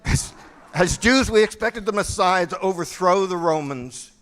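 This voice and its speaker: A man's voice: sits high, moderate pace, a bit bright. A man playing a cynical, hot-headed militant disciple in a dramatic reading for a church play, argumentative and sardonic. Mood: indignant, defiant.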